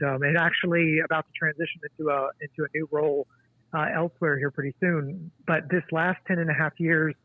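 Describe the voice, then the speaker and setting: A man in his forties, a guest author, speaking in a halting, thoughtful way with many restarts. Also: fairly high pitch, no room sound. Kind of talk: casual interview conversation. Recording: tinny dry phone line.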